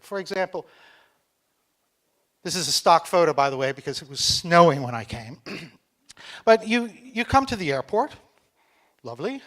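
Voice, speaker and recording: American man, middle-aged, fairly high voice, joking conference speaker, chatty, slow and clear. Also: a bit bright. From Texas, United States. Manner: joking, chatty presenter talking slowly to a foreign audience. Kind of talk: conference talk. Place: conference hall.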